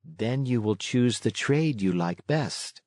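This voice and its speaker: A man voicing a motherly fairy woman in a storybook, tender and measured, kind but firm as she moralizes. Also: mid-range voice, animated, a little mellow, no room sound, clean studio sound.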